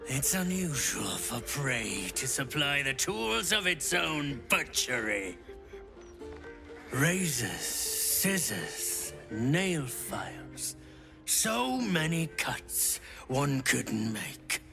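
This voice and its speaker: A man's voice: a little high, very animated, extremely slow, vocal fry, over music, full character voice. An actor playing a fanatical cult murderer in a video game, pompous, theatrical and ominous as he relishes death. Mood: exultant, menacing. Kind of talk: video game villain acting.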